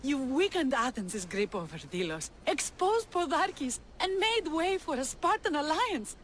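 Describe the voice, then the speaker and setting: A woman's voice: high-pitched, sing-song, measured pace, slight background noise. An actress playing a bold, passionate female rebel leader in a video game, with a teasing, fervent delivery. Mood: bright, flirtatious. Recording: background music under the voice.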